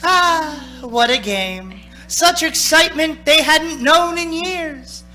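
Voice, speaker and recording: An actress playing the storytelling narrator of a radio play, theatrical and expressive. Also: female voice, high voice, slow pace, soft background music, exaggerated voice. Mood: wistful, lively. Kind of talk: scripted radio drama narration.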